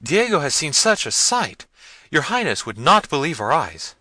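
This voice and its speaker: A man's voice: a little high, very animated, normal speed, pushed and bright, no room sound, voice pushed up, exaggerated voice. A man voicing a frightened, deferential servant in a gothic novel reading, flustered, pleading and long-winded. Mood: agitated, deferential. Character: frightened, babbling, deferential male castle servant.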